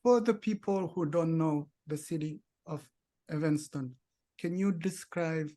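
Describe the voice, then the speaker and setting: A man acts as the interviewer in a conversational exchange. His voice sits at a middling pitch. He puts his questions in halting, deliberate phrases. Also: warm tone.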